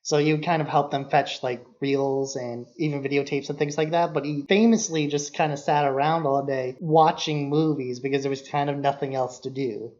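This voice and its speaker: A man's voice: mid-range voice, fairly even intonation, normal speed, few pauses, darkish tone. A man co-hosting a podcast, explaining things about film in a casual, loosely worded way. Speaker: podcast co-host. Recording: dull, lo-fi podcast audio.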